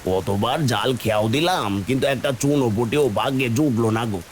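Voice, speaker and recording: A man playing a humble, coaxing fisherman father in a cartoon fairy tale, speaking in a homely, expressive way. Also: mid-range voice, fairly quick, natural voice. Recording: clear rain and hiss behind.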